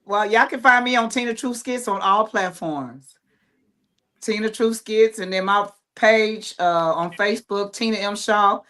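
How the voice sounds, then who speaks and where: A woman from Dayton, Ohio, a playwright and skit creator, chatting in a relaxed way. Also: mid-range voice, measured pace. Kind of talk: casual video-call chat.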